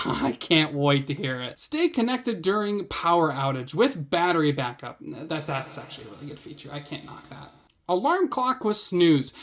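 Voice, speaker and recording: A woman reviewing a gadget in a casual unboxing commentary, with a sarcastic, wry way of talking. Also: fairly low pitch.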